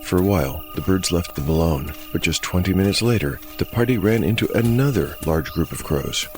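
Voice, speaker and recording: A man acting as game master and narrator of a dark fantasy audio drama. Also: sits low, brisk, soft background music.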